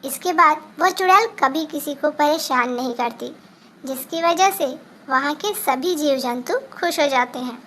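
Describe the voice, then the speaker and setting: A woman's voice: high voice, a little slow, fairly bright tone, slight background noise. A woman reading a scripted fairy tale for children as a storyteller.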